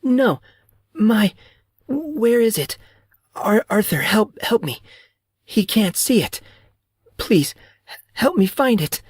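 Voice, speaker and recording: A man voicing a weak, desperate teenage girl who speaks in a breathy whisper and stammers as she pleads. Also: mid-range voice, animated, takes it slowly, long pauses, darkish tone, dry recording, clean studio sound, pitched above the performer's own voice. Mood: frantic pleading.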